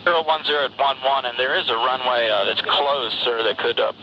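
A man working as an air traffic controller, speaking in measured, procedural phrases over the radio. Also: medium pitch. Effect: radio transmission. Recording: muffled, noisy air traffic radio recording.

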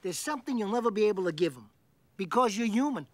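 An actor playing a smooth-talking father mouse in a family film, ingratiating and theatrically polite. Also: sits high, animated, quick pace, dry recording. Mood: solemn, smug.

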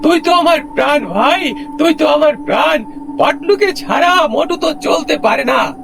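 A man voicing an excitable, loyal cartoon friend who speaks emotionally and pleadingly. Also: extremely high pitch, normal speed, gravelly, music in the background, pitched above the performer's own voice, full character voice. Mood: devoted. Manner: emotional, pleading, repeats words.

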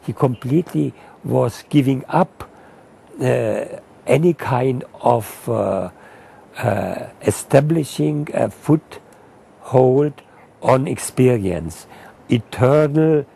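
An older man, a Buddhist monk, speaking reflectively and haltingly in an outdoor documentary interview. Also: medium pitch, animated, vocal fry, dark tone, breathy edge. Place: outdoors in a green garden.

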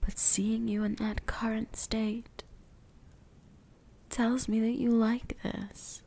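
A woman's voice: fairly high pitch, flat delivery, mellow, exaggerated voice. Woman playing a whispering, seductive vampire huntress. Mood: hypnotic, commanding. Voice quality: breathy, whispery, husky. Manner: slow, teasing, commanding. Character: seductive, menacing, possessive vampire huntress toying with prey. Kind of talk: whispered ASMR roleplay.

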